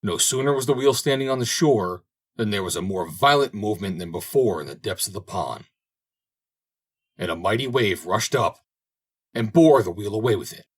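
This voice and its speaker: Husky, animated male storybook narrator. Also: fairly low pitch, normal speed. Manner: dramatic, expressive storytelling. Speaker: audiobook reader. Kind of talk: scripted fairy tale narration.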